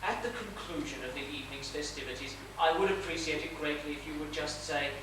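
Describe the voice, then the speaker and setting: A man's voice: on the high side, fast talker, lightly acted. A man playing a fussy but tender middle-aged father in a stage comedy, with courteous, florid, projected delivery. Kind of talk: live comedic stage play acting. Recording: echoey theatre stage, distant mic, noisy.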